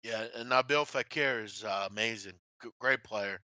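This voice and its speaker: A man with a bright, forceful voice hosts casual sports betting talk. He is blunt and happy to swear, often breaking off into asides. The sound is very dry and slightly dull. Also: fairly low pitch, normal speed, no room sound. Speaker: sports betting show host.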